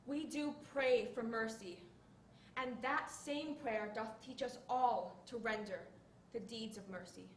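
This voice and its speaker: A teenage girl playing a poised young noblewoman, reciting a measured, earnest speech on mercy, recorded from afar in an echoey hall. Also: fairly high pitch, natural voice. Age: teens. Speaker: student in a monologue contest. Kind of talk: stage monologue recitation. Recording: echoey room, distant mic, faint hiss.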